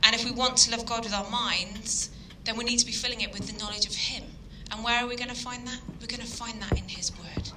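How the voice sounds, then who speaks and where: A woman from Yorkshire with a Yorkshire accent giving an earnest evening sermon, full of ums and pauses. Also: a little high, fairly even intonation, background noise. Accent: Yorkshire English. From Yorkshire, England. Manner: earnest, hesitant with frequent ums. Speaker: guest speaker.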